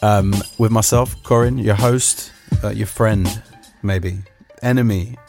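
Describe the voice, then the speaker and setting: A sniffly man hosts a podcast in a low voice, rambling through jokes with plenty of ums and restarts. Music plays softly under his talk. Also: fairly even intonation, slow, creaky. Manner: rambling, jokey, full of fillers and restarts. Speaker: podcast host. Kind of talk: casual chat.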